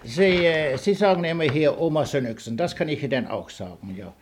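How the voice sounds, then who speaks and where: A man interviewing an older woman, asking quick, polite questions in formal address. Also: on the high side, animated, slight background noise.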